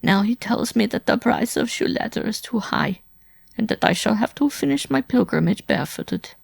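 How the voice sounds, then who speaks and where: A man's voice: high-pitched, animated, moderate pace, gravelly, no room sound, pitched above the performer's own voice, heavily acted. A man voicing a frightened, worn-out wife in an audiobook, pleading breathlessly in an overwrought way. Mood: tearful, aggrieved. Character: desperate frightened wife, worn-out former circus performer. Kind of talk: audiobook character acting.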